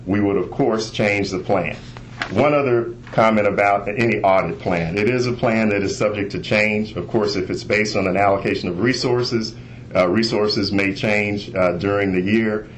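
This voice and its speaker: A man speaking as an internal auditor, giving a measured, formal presentation on a conference call. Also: fairly low pitch. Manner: measured, formal, bureaucratic phrasing. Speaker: internal auditor, inspector general. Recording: noisy conference call recording.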